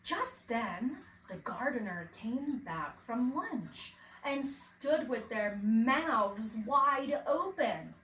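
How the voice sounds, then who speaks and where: A woman reading a children's picture book aloud like a preschool teacher, with expressive, dramatic emphasis for young listeners. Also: a little high. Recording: roomy, distant mic, faint background noise.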